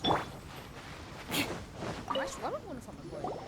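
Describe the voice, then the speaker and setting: Animated woman casually commenting on a game. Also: high voice, moderate pace, heavy background noise. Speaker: game streamer. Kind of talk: casual, joking gameplay commentary. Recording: loud game music over the voice.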